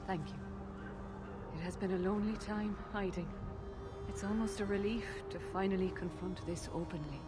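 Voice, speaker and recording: A woman's voice: medium pitch, moderate pace, loud music behind the voice, lightly acted. An actress playing an anxious, devoted mother in a video game, earnest and imploring. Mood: worried, relieved. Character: anxious, pleading elven mother, desperate and devoted. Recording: noisy game capture with ambience.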